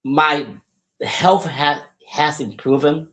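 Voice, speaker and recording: A man's voice: on the high side, steady tone, extremely slow, a bit bright, poor audio. A man in his forties hosting a podcast, talking casually and upbeat, often repeating his words as he rambles. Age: 40s. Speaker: podcast host and wrestler. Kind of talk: casual solo podcast talk.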